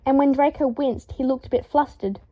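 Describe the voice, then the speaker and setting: High-voiced woman reading a story. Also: fairly quick. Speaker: fan fiction audiobook reader. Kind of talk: expressive scripted narration. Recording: dull lo-fi home recording.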